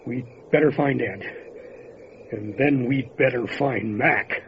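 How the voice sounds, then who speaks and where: A man playing a steady, wary mountain sheriff in an old-time radio horror drama, plain-spoken and grave. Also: medium pitch, slow pace. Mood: tense. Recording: muffled, faintly noisy lo-fi radio recording.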